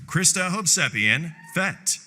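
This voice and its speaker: A man's voice: on the high side, animated, slow pace, bright, forceful tone, a little hiss or hum. A man announcing nominees at an awards show. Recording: music behind.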